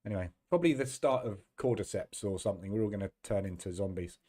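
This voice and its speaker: A middle-aged man with a deep, throaty, hoarse voice from a cold, hosting a casual live trading stream and chatting between fillers. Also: fast talker, dark tone. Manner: chatty, greets viewers by name, many fillers.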